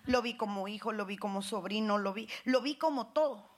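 A middle-aged woman from Cuba with a Cuban Spanish accent, preaching a sermon as pastor with call-and-response and emphatic high bursts. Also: brisk.

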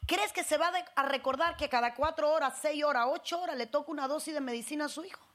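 A woman pastor with a Cuban Spanish accent delivers a sermon in a high voice. She calls on the congregation for responses and climbs into emphatic bursts. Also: fast talker, a bit bright. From Cuba.

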